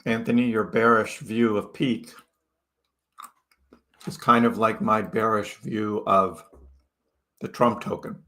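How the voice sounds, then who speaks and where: Guy from chicago chatting on a livestream, bright forward voice, greets people by name. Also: lowish voice, level tone, takes it slowly, pauses often. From Chicago, United States. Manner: chatty, greets viewers by name, laughs often. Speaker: crypto livestream host. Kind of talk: casual live chat reading viewer comments.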